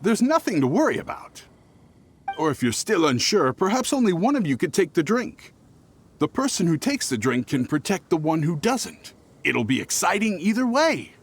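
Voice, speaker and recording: A jovial older salesman from a video game. His husky voice sits high for a man and swings dramatically up and down. He coaxes in a hearty, theatrical way. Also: sing-song, normal speed, exaggerated voice. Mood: jovial, coaxing.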